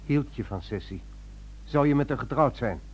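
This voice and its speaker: An actor playing a preoccupied but compassionate helpline volunteer, hesitant and earnest, in a Dutch radio play. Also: male voice, mid-range voice, lively intonation, moderate pace, a little mellow, husky. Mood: compassionate, probing. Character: preoccupied male crisis helper, hesitant yet compassionate.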